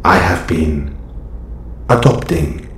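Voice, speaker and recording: A man playing a calm, formal robot superhero with quiet menace, speaking in stiff, precise phrases in an audio roleplay. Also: sits low, slow pace, heavily acted. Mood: coolly persuasive. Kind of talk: scripted audio roleplay acting. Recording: echoey, faint background ambient noise.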